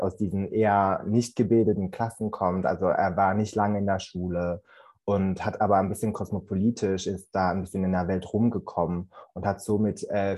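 Deep-voiced young man. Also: a little mellow. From Central Switzerland. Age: young adult. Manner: explaining, with fillers and restarts. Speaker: student podcast co-host. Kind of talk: casual academic discussion.

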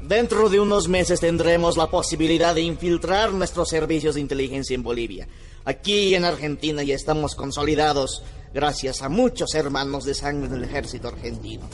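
A man's voice: a little high, moderate pace, a little hiss or hum, natural voice. A man playing a smug intelligence officer. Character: scheming male intelligence officer, self-assured.

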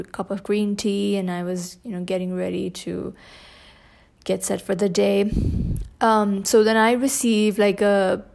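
A young woman talks unscripted on her podcast in a hoarse, scratchy voice with a gravelly edge. She speaks haltingly, with soft fillers and long pauses, like someone holding back tears. Her pitch sits in an ordinary middle range. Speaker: podcast host. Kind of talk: unscripted personal podcast talk.

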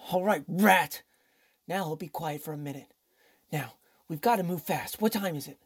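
A rough-voiced young lawyer in a radio play, acted by a man. He is hard-nosed and impatient, pushing every line with urgency. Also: a little high, normal speed. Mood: furious, then tense. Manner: tough, urgent. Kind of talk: old-time radio drama acting.

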